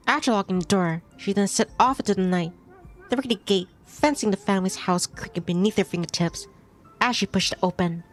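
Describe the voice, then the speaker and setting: A woman streamer reading a visual novel aloud in expressive storytelling narration. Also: mid-range voice.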